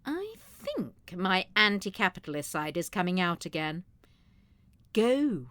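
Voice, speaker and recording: A woman's voice: lowish voice, very animated, a little slow, fairly bright tone, dry recording. A woman playing a feisty, wry old woman with a rebellious streak in an audio drama. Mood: resolute. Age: older.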